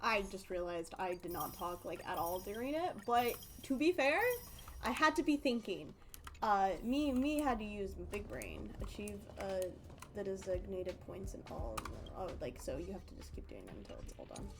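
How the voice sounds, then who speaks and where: A woman's voice: medium pitch, lively intonation, moderate pace, slight background noise. A woman live-streaming a game, chatting casually and rambling as she thinks aloud.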